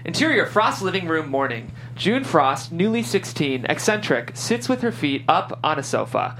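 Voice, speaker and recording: A man's voice: on the high side, big pitch swings, moderate pace, fairly bright tone, slight background noise, low-quality recording. A man hosting a show, talking casually and reading scripted narration. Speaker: show host and stage-direction reader.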